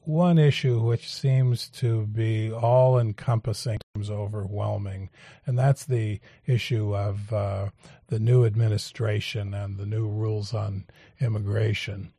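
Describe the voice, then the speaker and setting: A male radio talk show host, interviewing guests in a measured, halting way with frequent fillers. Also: fairly low pitch, steady tone, dark tone, no echo, studio quality. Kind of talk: public affairs interview.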